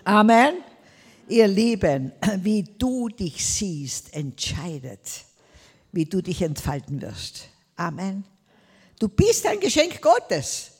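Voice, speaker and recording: A woman's voice: mid-range voice, sing-song, slow pace, breathy edge, in a large hall. An older woman from Tyrol with a Tyrolean accent, preaching a sermon emphatically, drawing out words and calling out Amen and Hallelujah. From Tyrol, Austria.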